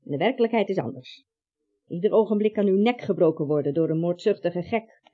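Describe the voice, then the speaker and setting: An actress playing a morbid, ominous woman in a radio play, speaking deadpan with macabre relish. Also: lowish voice, a little fast. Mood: worried, warning. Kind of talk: Dutch radio play acting. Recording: muffled, dull old radio-play recording.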